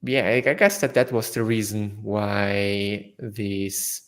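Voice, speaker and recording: A young man from South Tyrol whose first language is German, speaking English with a German accent as an interview guest, halting with fillers and restarts. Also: fairly low pitch, level tone. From northern Italy, South Tyrol. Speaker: guest entrepreneur. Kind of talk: casual interview answers.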